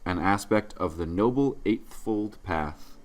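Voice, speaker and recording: A man's voice: on the low side, lively intonation, measured pace. A man co-hosting a comedy podcast in casual banter, with dry, sarcastic joking. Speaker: podcast co-host.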